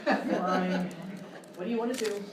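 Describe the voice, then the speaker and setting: An actress playing a sceptical, indignant sidekick in live radio sketch banter, sarcastic and exclamatory. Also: female voice, medium pitch, a little slow, natural voice. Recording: echoey live stage, distant mic, noisy.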